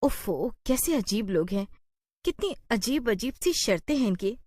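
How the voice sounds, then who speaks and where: Lady playing a worried young woman in a spooky cartoon, high pitched, very animated, complains a lot. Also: normal speed, no echo. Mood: annoyed, sleepy. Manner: exclaiming, fretful, stammering when scared. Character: anxious, complaining young woman shop worker.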